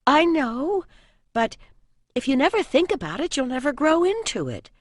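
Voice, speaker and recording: A woman voicing an earnest, loyal young girl who gently reproves, in a fantasy audiobook. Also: high voice, normal speed, dry recording, clean studio sound, voice pushed up. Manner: steady, reproving, sincere.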